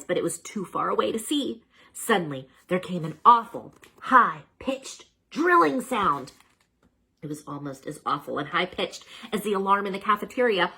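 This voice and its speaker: A woman reading a children's storybook aloud as a storyteller, dramatic and expressive, with chatty asides to the listeners. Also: medium pitch, breathy edge.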